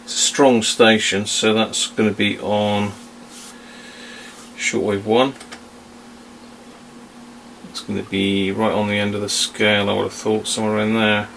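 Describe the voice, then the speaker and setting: A man's voice: fairly low pitch, flat delivery, unhurried, long pauses, fairly bright tone, rough recording. A man giving a casual, off-the-cuff demonstration as a hobbyist host, hesitant and trailing off into muttered asides. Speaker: radio hobbyist, video host. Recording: home recording with faint background hiss.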